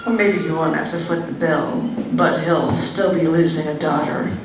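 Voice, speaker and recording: A woman's voice: sits low, steady tone, normal speed. Echoey woman reading poetry live. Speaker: poet. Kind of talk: expressive live poetry reading of a personal narrative. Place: indoor room at a poetry open mic. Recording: echoey, noisy room, distant-sounding live recording.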